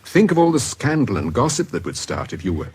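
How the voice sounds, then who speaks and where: An actor playing a shrewd, calm British suitor in a radio drama, reasoning persuasively in a measured way. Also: male voice, mid-range voice, husky. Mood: gently insistent. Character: calm, shrewd suitor counselling the woman he loves.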